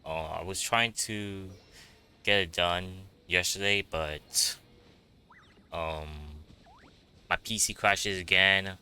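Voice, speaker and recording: Low voiced guy streamer, slow n hesitant casual game commentary, crisp bright sound. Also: steady tone. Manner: hesitant, halting, self-deprecating. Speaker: gaming streamer.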